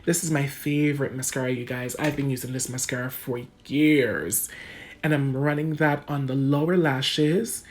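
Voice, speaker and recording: A woman's voice: extremely low pitch, fairly even intonation, normal speed, a little mellow. A woman with a Jamaican accent narrating a makeup tutorial in a chatty, casual way, talking straight to her viewers. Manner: chatty, addresses viewers as guys, laughs often. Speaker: beauty vlogger. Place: home room under bright lights.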